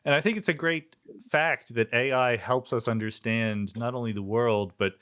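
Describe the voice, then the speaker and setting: A man's voice: mid-range voice, steady tone, normal speed, fairly bright tone, clear, resonant tone. American man hosting a conversational podcast. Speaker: podcast host. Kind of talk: conversational interview and podcast introduction.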